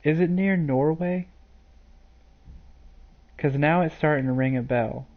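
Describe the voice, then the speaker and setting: A man's voice: a little high, a little slow, narrow, tinny audio. A man streaming a video game, chatting to viewers in a rambling, hesitant way full of fillers. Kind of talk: live gameplay commentary.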